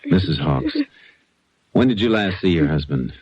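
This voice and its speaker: An American man acting a steady, probing Texas Ranger in an old-time radio drama, with terse, businesslike delivery. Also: deep voice, moderate pace, breathy edge, no room sound, heavily acted. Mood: grave, probing. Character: steady, probing male Texas Ranger who narrates his case. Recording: noisy muffled old radio recording.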